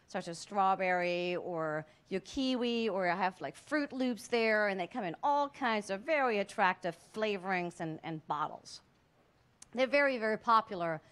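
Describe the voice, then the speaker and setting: A woman's voice: mid-range voice, clean tone. A woman in her forties giving a public science lecture on a museum cafe stage with a handheld mic, explaining in a conversational way with frequent restarts. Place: museum cafe stage before a seated audience, indoors.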